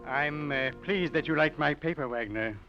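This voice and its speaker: An actor playing a proud, irritable college dean who is cornered and guilty, defensive and faltering, in an old-time radio mystery. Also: male voice, fairly high pitch, moderate pace, loud music behind the voice, close to an everyday voice. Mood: guarded. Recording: muffled vintage radio drama recording.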